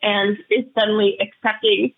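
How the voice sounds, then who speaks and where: Hoarse woman calling in over the phone. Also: medium pitch, little pitch movement. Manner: halting, earnest, many restarts and fillers. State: losing her voice, froggy. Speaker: caller. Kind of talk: phoned-in personal update. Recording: tinny phone line.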